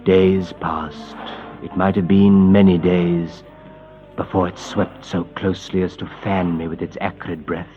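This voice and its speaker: An actor playing a condemned captain tormented by dread, speaking in a halting, literary way in the style of old radio horror. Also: male voice, low-pitched, little pitch movement, music in the background. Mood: haunted, brooding. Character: condemned male captain, tormented prisoner narrating his dread. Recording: muffled, noisy old radio recording.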